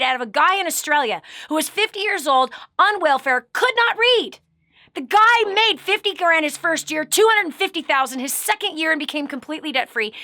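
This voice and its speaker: A middle-aged woman hosting a radio show, giving emphatic, preachy motivational advice that swells louder on key words. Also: high-pitched, no room sound, studio quality. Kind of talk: motivational coaching talk.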